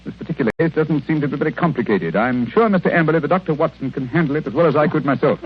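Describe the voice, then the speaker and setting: A man acting a sardonic, impatient gentleman detective in a 1930s radio drama, clipped and dismissive, on a muffled, noisy old recording. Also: a little high, lively intonation, racing, husky. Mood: brisk, dismissive. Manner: clipped, dismissive, dryly amused.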